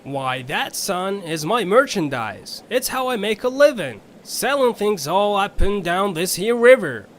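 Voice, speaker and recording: A very high-voiced riverboat captain in an audio story, folksy and sly. His flowery drawl swoops theatrically up and down, with slight hiss behind it. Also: sing-song, measured pace, few pauses, fairly bright tone, heavily acted. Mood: proud.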